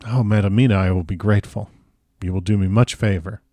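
A man's voice: fairly low pitch, steady tone, quick pace, dark tone, dry recording, clean studio sound, natural voice. A man voicing an earnest old professor in a storybook reading, with formal, effusive, broken foreign phrasing. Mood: courteous, admiring. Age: older.

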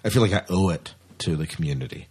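A man's voice: low-pitched, expressive, quick pace, darkish tone, husky. A man co-hosting a podcast, talking through entertainment news in a casual, talkative way with repeated phrases for emphasis. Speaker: podcast co-host.

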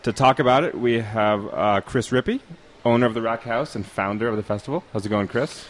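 A man's voice: mid-range voice, a little fast. A man hosting a casual podcast from a pub at a distillery, joking loosely and using many fillers. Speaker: podcast host.

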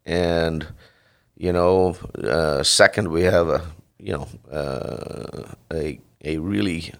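A middle-aged man from the Netherlands speaks with a Dutch accent and a very deep voice. There is a gravelly fry in it. He answers interview questions haltingly, full of "uh" and restarts. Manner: halting, many fillers and restarts. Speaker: dairy farmer, guest.

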